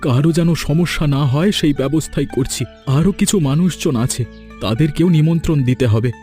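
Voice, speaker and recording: Guy as earnest big brother in a cartoon, humble and protective, soft mellow voice, a bit high. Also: fairly quick, faint music behind, close to an everyday voice. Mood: earnest, entrusting. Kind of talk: animated cartoon story dialogue.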